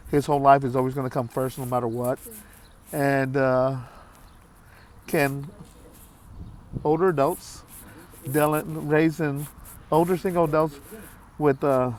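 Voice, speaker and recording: Late-fifties man, vlogger, heartfelt and halting, mid-pitched voice, faint background noise. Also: darkish tone. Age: 50s. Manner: halting, heartfelt, repeats himself with many fillers. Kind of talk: candid talk to camera.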